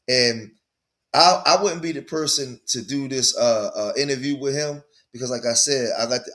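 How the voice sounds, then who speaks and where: Male commentary host, mid-pitched, chatty, stammers, leans on "right?" tags. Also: a bit bright. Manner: stutters when anxious, repeats "right?". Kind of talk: casual commentary to viewers.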